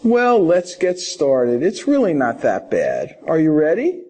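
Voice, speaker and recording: Male officer in a role-play, high husky voice, asks formal questions. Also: huge pitch swings, a little slow, warm tone. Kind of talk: scripted interview questions.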